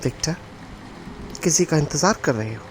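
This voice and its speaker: A woman's voice: deep voice, animated, moderate pace, a little hiss or hum. A woman with an Indian accent playing an eager, inquisitive young bride in an audio drama. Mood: puzzled, concerned. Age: young adult. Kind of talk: Hindi audio drama acting.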